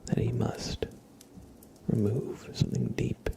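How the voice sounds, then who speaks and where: A man hosts an ASMR livestream in a breathy whisper that slips into soft low speech. He takes his time, pausing gently between phrases. A crackly fry runs through the voice. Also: little pitch movement. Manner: slow, gentle, halting delivery with pauses. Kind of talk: whispered ASMR, part role play, part casual chat.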